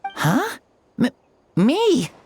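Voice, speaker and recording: A woman's voice: high voice, huge pitch swings, extremely slow, a little mellow, exaggerated voice. A woman voicing a frail, wide-eyed small child in a video game, with an earnest and hesitant way of talking. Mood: dazed, hesitant. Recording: music behind the voice.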